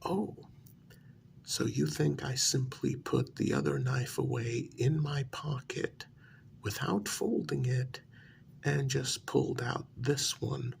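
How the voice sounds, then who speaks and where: A man playing an eerily calm asylum patient in a soft ASMR role-play, breathy and gravelly, with a measured, hesitant, quietly condescending delivery. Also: fairly high pitch, a little hiss or hum, full character voice. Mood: incredulous. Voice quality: gravelly, breathy, half-whispered. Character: eerily calm male asylum patient who believes he creates reality.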